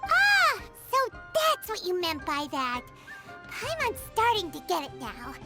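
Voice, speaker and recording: A woman voicing a tiny floating fairy companion who is chirpy and excitable and talks about herself in the third person, in a video game. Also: extremely high pitch, unhurried, exaggerated voice. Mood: curious. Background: background game music.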